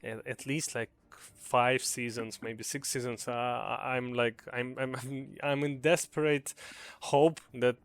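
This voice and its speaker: A man's voice: mid-range voice, little pitch movement, slow, a bit bright, smooth. A young man with a Ukrainian accent giving a casual, earnest gaming commentary, hesitant with many fillers and restarts. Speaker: gaming video creator.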